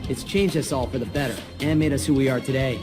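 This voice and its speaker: An actor voicing an earnest, responsible young turtle ninja leader in a video game, sincere and composed. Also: male voice, medium pitch, fairly even intonation, fast, close to an everyday voice. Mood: steady, determined. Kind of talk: video game cutscene acting and narration. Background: music.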